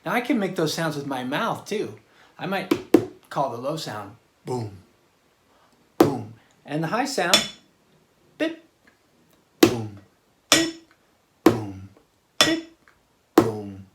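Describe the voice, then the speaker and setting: A man giving a casual, hands-on drum lesson for children, talking in a halting, exploratory way. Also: mid-range voice, wildly swooping pitch, over loud music. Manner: halting, exploratory, sounding out rhythms with his mouth. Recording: roomy echo, loud clattering percussion noise. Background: banging on household objects.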